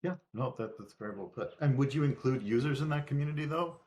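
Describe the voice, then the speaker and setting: A man's voice: medium pitch, animated, a little mellow. Chatty male workshop moderator. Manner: friendly, chatty, with quick agreeing back-channel sounds. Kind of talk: casual panel discussion.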